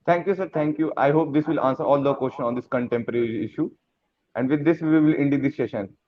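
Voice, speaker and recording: A man's voice: medium pitch, quick pace, muffled recording. A man speaking politely and formally as the moderator of a session.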